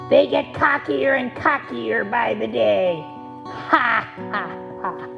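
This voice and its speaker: A woman puts on a scheming, spiteful villain for a puppet show. The voice is heavily creaky and swoops about as it drags out each gloat. It sounds muffled, in long reverb with guitar behind. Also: on the high side, exaggerated voice. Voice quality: creaky, gravelly. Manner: cackling, theatrical.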